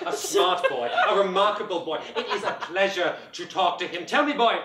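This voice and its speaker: A man's voice: high-pitched, bright, forceful tone, full character voice. A man playing an older male miser in a one-man storytelling performance, theatrical, pleading and exclaiming. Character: older male miser, desperate then giddy with joy. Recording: roomy, distant mic, faint ambience.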